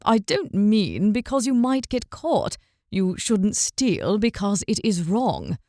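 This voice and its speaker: A woman voicing a calm, principled male traveler in an audiobook, speaking slowly and politely. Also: medium pitch, dry recording, clean studio sound. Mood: earnest. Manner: slow, measured, courteous. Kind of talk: audiobook character voice.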